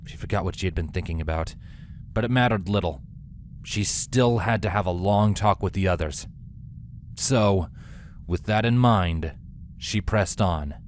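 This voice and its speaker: A man reading a fantasy story as narrator in a scripted, dramatic style with deliberate pauses. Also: low-pitched, a little hiss or hum. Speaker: fan fiction narrator. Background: faint background music.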